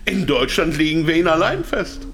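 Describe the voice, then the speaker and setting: An actor playing a captive pharmaceutical manager, hesitant and anxious to comply, in a German radio drama. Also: male voice, on the high side, big pitch swings, moderate pace, a bit bright. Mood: explaining.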